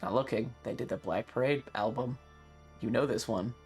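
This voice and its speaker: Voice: medium pitch, normal speed, darkish tone, faint music behind. A streamer chatting casually and live, rambling and opinionated, swearing freely and complaining with playful exaggeration. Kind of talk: casual livestream chat.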